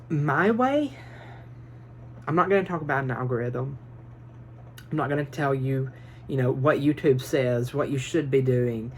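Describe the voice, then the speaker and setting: A man giving advice in a casual phone vlog from his bedroom, talking nervously and quickly, rambling with lots of fillers and restarts. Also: medium pitch, a little hiss or hum. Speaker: vlogger. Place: small bedroom. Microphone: phone built-in mic, no microphone.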